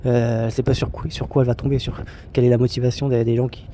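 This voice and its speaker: A man's voice: mid-range voice, little pitch movement, quick pace, dark tone, a little hiss or hum. A man talking casually about solo travel, rambling with many fillers, restarts and blunt slang. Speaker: travel vlogger. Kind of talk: casual spoken monologue.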